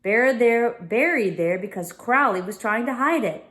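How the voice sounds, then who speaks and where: Woman acting a headstrong young girl, storybook heroine, high-pitched, insistent, emphatic. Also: expressive, moderate pace, fairly bright tone. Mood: accusing.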